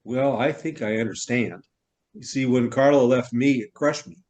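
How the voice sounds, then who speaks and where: A man acts out an effusive middle-aged novelist in a stage reading. He is theatrical and wordy, given to exclaiming, in a voice of middling pitch. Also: normal speed, darkish tone, lo-fi sound, lightly acted. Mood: reflective, enthusiastic. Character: effusive middle-aged male novelist, newly arrived from New York. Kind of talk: stage reader's theater acting.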